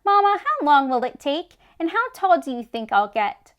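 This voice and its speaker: A woman's voice: high-pitched, sing-song, normal speed, lo-fi sound, voice pushed up. A woman voicing an earnest, eager young boy in a storybook. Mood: eager, curious. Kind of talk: storybook character voice.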